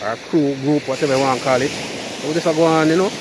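Young man, Jamaican accent, rambling casual vlog talk, phone at arm's length, clear outdoor noise. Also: fairly high pitch, steady tone, fast talker. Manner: loose, chatty, rambling. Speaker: vlogger. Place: outdoors by a river cove. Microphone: phone camera at arm's length. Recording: noisy outdoor phone recording.

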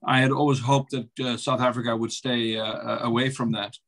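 Dutch male guest, 50s, webcam audio, slow hesitant delivery with fillers. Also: mid-range voice, little pitch movement, fairly bright tone. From Netherlands. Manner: measured, hesitant, many fillers. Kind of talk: video call interview. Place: office, video call. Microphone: webcam on a video call.

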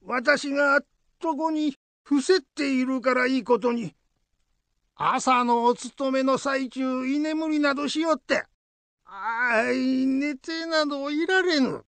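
A man's voice: extremely high pitch, slow pace, fairly bright tone, dry recording, heavily acted. A man playing an old Buddhist head priest in a radio drama, fussy but fond, with grumbling, old-fashioned elderly speech. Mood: scolding.

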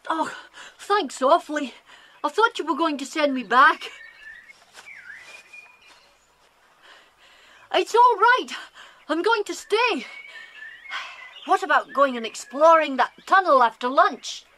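A woman's voice: high voice, animated, unhurried, bright, forceful tone, full character voice. An actress with an English accent playing an eager, friendly farm boy in a children's audio drama. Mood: relieved, eager. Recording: hissy 1990s cassette tape.

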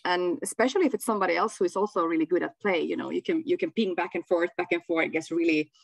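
A woman from Finland with Swedish as her first language and a Finland-Swedish accent, chatting haltingly as an interview guest on a video call. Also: lowish voice, gravelly, no room sound. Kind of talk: casual conversational interview. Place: small room at home, indoors.

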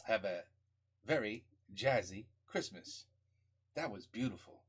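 A man's voice: fairly low pitch, lively intonation, measured pace, husky. A middle-aged man hosting a music reaction video, talking casually and enthusiastically, often repeating himself, on a headset microphone.

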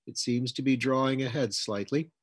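A man voicing a feisty, sharp-tongued old grandmother aboard a spaceship in an audiobook, brisk and folksy. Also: mid-range voice, steady tone, slightly rough, no echo, clean studio sound, lightly acted. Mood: terse, alert.

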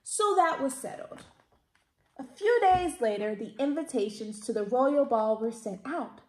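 A woman in her thirties reads a children's picture book aloud expressively, with deliberate, theatrical emphasis. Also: high-pitched, expressive. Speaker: library storytime reader. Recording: roomy indoor echo.

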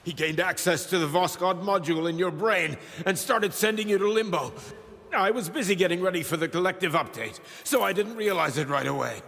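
Pleading game scientist, high-pitched voice, long reverb, music under. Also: male voice, fairly quick, vocal fry, a bit bright. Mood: urgent. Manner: urgent, pleading, explaining. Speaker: scientist leader. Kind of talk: video game cutscene acting. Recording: reverberant space with score behind.

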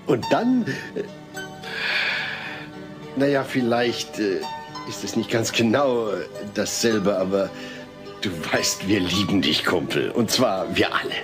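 A man's mid-range voice acting as a well-meaning family father in a comedy radio play. He is earnest and patient, with a very animated intonation, over clearly audible music. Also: mid-range voice, normal speed, lightly acted. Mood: earnest, awkward. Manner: earnest, reasoning, hesitant when awkward. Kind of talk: scripted comedy audio drama.

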